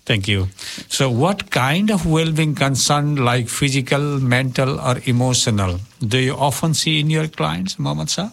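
A man co-hosting a community radio interview in a studio, reading questions formally in slightly halting English. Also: mid-range voice, lively intonation, gravelly, fairly bright tone. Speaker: radio show co-host.

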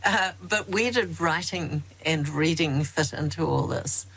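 A woman hosting an interview, asking slow, thoughtful questions with trailing phrasing. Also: low-pitched, creaky, fairly bright tone, slight background noise, poor audio. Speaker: interview host.

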